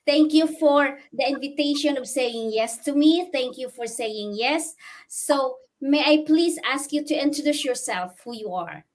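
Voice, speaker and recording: A woman's voice: high-pitched, a little slow. A woman hosting a livestream interview, addressing viewers in an effusive, emphatic way and often repeating her phrases. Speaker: host interviewing a guest.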